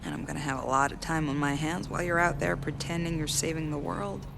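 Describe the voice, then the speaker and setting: A man's voice: on the high side, steady tone, fast talker, vocal fry, noisy background. A man playing a cocky, foul-mouthed, cynical mercenary in a video game cutscene, with a brash sneering manner. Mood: wheeling and dealing.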